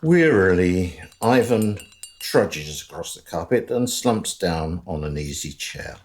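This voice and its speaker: A man's voice: sits low, very animated, takes it slowly, full character voice. A man giving scripted narration for a radio drama in a wry storytelling manner.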